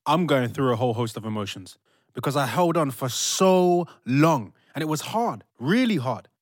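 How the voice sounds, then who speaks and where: A man's voice: medium pitch, lively intonation, normal speed, no echo, clean studio sound, natural voice. A young British man acting a hurt, angry fiancé torn by betrayal in a modern audio drama. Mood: upset, conflicted. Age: young adult.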